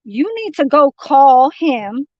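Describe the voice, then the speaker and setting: A woman's voice: high voice, lively intonation, slow pace, no room sound. A woman from San Francisco ranting on a livestream, swearing constantly and talking in a rambling, confrontational way. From San Francisco, United States. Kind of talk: heated livestream rant. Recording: dull lo-fi dry stream audio.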